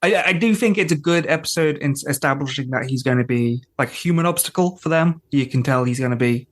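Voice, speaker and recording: A man's voice: mid-range voice, fast talker. A man co-hosting a podcast, talking casually and conversationally in a rambling way about television. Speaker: podcast host. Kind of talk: casual TV episode discussion.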